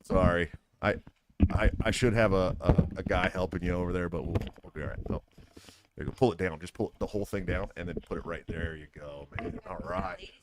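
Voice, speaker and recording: A man's voice: low-pitched, fairly quick, heavy background noise. An American man hosting a casual live interview, speaking in an earnest, informal way. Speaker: podcast host. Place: live at a political convention.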